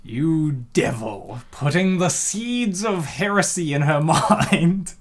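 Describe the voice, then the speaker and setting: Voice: mid-range voice, lively intonation, takes it slowly. A co-host narrating a comic dub and reacting casually, with an ironic, teasing manner.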